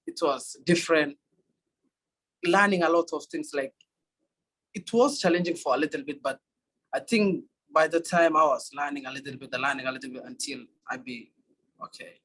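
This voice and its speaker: Kenyan college runner, young man, husky tone, halting answers. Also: fairly high pitch, a bit bright, low-quality recording. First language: Swahili. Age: young adult. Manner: halting, many fillers and restarts. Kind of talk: interview answers.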